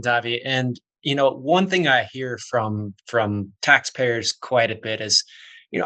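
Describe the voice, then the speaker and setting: Podcast host, mid-pitched man, bright and forceful, casual conversational delivery. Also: poor audio. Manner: conversational, leans on "you know" and "right?". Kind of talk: podcast interview.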